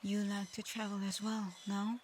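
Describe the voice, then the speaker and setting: A woman voicing a proud but easily flustered dragon girl in human form for an ASMR roleplay, speaking formally with halting stammers. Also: mid-range voice, level tone, darkish tone, slight background noise, lightly acted. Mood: flustered, shy. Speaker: audio roleplay performer.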